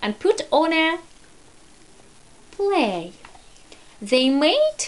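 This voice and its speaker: Mother reading a picture book to a small child: female, high-pitched, slow, prompting word by word. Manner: expressive, instructive, prompting word by word. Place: at a wooden table indoors at home.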